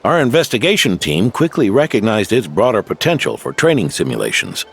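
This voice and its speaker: An actor playing an old, seasoned male knight who is gruff, fatherly and teasing, in video game voice acting. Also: on the low side, very animated, a little fast, a bit bright, heavily acted. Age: older. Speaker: veteran knight.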